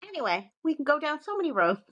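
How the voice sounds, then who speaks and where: A woman's voice: sits high, very animated, quick pace, fairly bright tone, dry recording, lo-fi sound. A woman co-hosting a podcast, partly reading from notes and chatting casually, with sweary, self-mocking asides. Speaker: podcast co-host presenting research.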